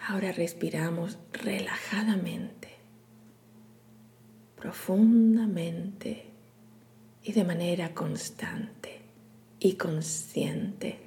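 A woman leading a guided meditation in a soothing, hushed, breathy delivery with long pauses. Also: fairly low pitch. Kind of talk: guided meditation, scripted narration.

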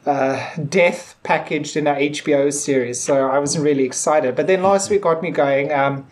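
A man co-hosting a podcast, chatting casually and haltingly, repeating words as he forms his thoughts. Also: on the high side. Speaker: podcast co-host. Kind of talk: casual episode discussion.

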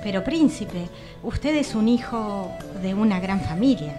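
A woman's voice: medium pitch, animated, unhurried, lightly acted. A woman with an Argentine accent playing a young fairy-tale maiden in a comic radio parody, in theatrical style. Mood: sweet, flattered. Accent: Argentine, Rioplatense. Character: sweet, flattered young fairy-tale maiden, later grumbling. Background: music.

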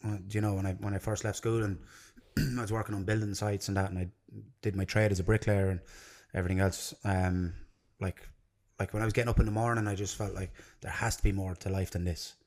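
Low-pitched Irish man chatting casually. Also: little pitch movement, fairly quick, darkish tone. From Ireland and London. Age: 30s. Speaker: podcast guest, jiu-jitsu coach. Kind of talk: casual chat.

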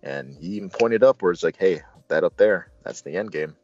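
A man hosting a casual movie discussion, retelling scenes in an earnest, halting, colloquial fan's way. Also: low voice, darkish tone. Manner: halting, earnest fan talk with colloquial asides. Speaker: movie reviewer and show host. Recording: dull lo-fi audio with faint music. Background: faint music.

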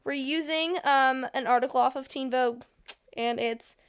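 A woman's voice: high voice, moderate pace, clean tone. A teenage woman co-hosting a casual chat with a friend, teasing and giggling as she reads out questions. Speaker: podcast co-host.

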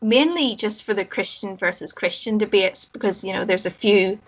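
A woman's voice: medium pitch, moderate pace, lo-fi sound. A woman with a Northern Irish accent talking candidly and articulately about her own life as a podcast guest, with a wry streak. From Belfast, Northern Ireland. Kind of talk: conversational personal account.

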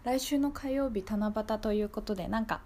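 A woman hosting a radio show, talking casually and reflectively in a gentle, polite, thoughtful way. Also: mid-range voice, moderate pace. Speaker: radio show host and singer-songwriter.

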